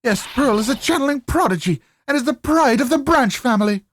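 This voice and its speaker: A woman voicing a proud, severe village matriarch in a video game, speaking in a formal, theatrical, deliberate way. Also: sits high, lively intonation, slight background noise. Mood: proud, fervent. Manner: haughty, dramatic, deliberate. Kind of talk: amateur video game voice-over.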